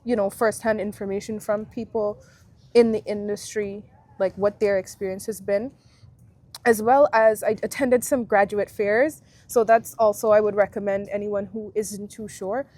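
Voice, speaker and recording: A woman in her twenties from Guyana, a university alumna, talking through her experiences in a relaxed, reflective way as an interview guest. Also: mid-range voice. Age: 20s. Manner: reflective, friendly, thinks aloud with fillers. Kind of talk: casual interview chat.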